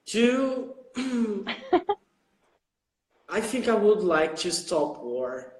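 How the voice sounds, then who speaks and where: Slow, halting man. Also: high-pitched, very animated, echoey room. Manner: slow, halting, searching for words. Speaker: English teacher. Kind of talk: casual conversation class on a livestream.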